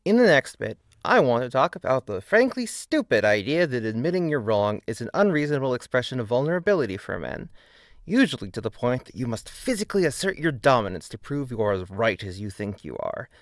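A man's voice: medium pitch, big pitch swings, a little fast, no room sound. A man narrates a video essay in a mid-range voice. He is emphatic and opinionated, with wry, casual asides breaking up the script. The sound is dry and close.

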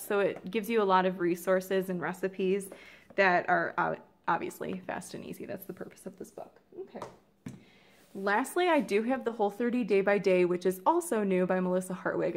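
A woman in her twenties, chatting casually and upbeat in a home how-to vlog, with lots of ums and likes. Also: mid-range voice. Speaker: vlogger. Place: home room indoors, then a desk.

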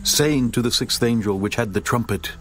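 A man narrating a dramatized scripture reading in a steady, grave voice. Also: mid-range voice, moderate pace. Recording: narration over music.

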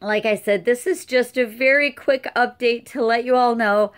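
A middle-aged woman giving a casual update from home, tired and a little hoarse, chatting with many fillers. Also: on the high side. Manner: chatty, unhurried, lots of fillers. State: tired, losing her voice. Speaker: channel host. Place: cluttered room at home. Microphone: webcam close up.

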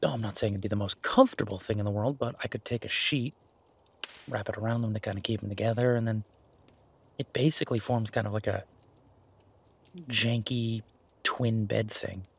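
A man playing a shy, gentlemanly boyfriend in an intimate romantic audio roleplay, speaking softly and haltingly with gentle teasing. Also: on the low side, fairly even intonation, vocal fry, husky. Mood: tender, hesitant. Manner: hesitant, soft-spoken, gently teasing.

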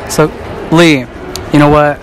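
Cocky young man with high voice. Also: monotone, slow, a little hiss or hum, low-quality recording. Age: young adult. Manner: cocky slangy banter, laughs often. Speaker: prank street interviewer. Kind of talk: prank street interview. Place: indoor shopping mall. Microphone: handheld mic held near mouth.

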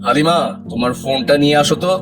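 A man's voice: a little high, very fast, music in the background, close to an everyday voice. A man playing a stern, indignant, pious middle-aged father with a dramatic scolding manner in a moral cartoon. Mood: stern, angry.

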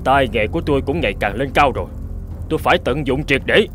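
A man's voice: mid-range voice, animated, fast, a bit bright, lightly acted. Man acting as a stubborn, greedy hunter, music behind. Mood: stubborn, annoyed. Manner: brusque, boastful, dismissive. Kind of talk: animated folk tale dialogue.

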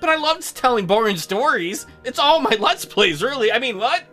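Middle-aged male let's-player, crude and rambling, swinging pitch, game music underneath. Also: lively intonation, normal speed, bright, forceful tone. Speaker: let's play streamer. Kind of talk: joking game commentary and read-aloud.

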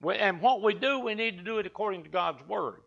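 An older Appalachian man preaches in a down-home way, now and then checking back with the pews. His voice is bright and fairly high. The sound is dull and lo-fi, picked up by a lectern mic in a church sanctuary. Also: expressive, fairly quick, gravelly. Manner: down-home, talks to the congregation and asks them back. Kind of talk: folksy evening sermon. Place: wood-panelled church sanctuary. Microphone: lectern mic from a far camera.

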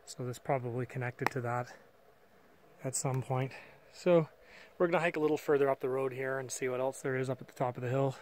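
A man's voice: mid-range voice, steady tone, fairly quick, darkish tone, a little hiss or hum. A man filming and casually narrating a walk through an old mine site outdoors, thinking aloud with fillers on a camera mic. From Canada. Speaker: mine explorer filming a walkthrough.

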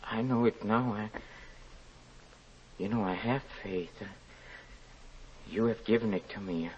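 An actor playing a proud, guilt-ridden, impoverished young murderer in an old-time radio drama, halting and overwrought. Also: male voice, lowish voice, darkish tone, a little hiss or hum. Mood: humbled, penitent. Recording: muffled old radio recording.

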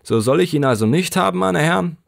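A man's voice: a little high, animated, fast talker, vocal fry, no echo, voice pushed up. Wheedling poor tradesman, raised pleading voice, dry close sound, read by a man. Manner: wheedling, complaining.

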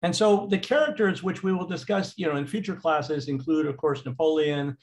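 Man, teacher voice over slides, relaxed and casual, many fillers. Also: a little high, a little fast. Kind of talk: recorded slideshow lecture.

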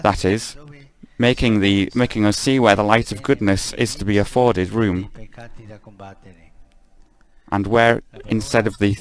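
A man giving a live spoken translation of a homily, measured, with frequent stumbles and self-corrections. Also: lowish voice, poor audio.

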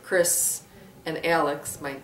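A woman's voice: low voice, steady tone, takes it slowly. A middle-aged woman, a theatre director, chatting casually in an interview. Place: small room with bookshelves, indoors. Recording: roomy, distant mic, faint hiss.

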